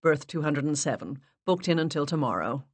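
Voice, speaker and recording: A woman voices a polite young man behind a front desk, formal and firm in a low, quiet tone. The reading sounds close and dry. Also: lively intonation, fairly quick, no room sound. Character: polite young male hotel receptionist.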